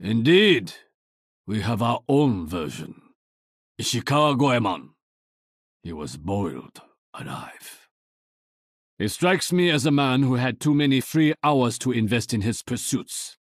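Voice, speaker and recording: An actor playing a stern, proud exiled warrior archer with a Japanese accent and a husky voice, speaking solemnly and tersely in a video game. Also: male voice, medium pitch, sing-song, measured pace, pauses often, no echo, clean studio sound. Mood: stern, brooding.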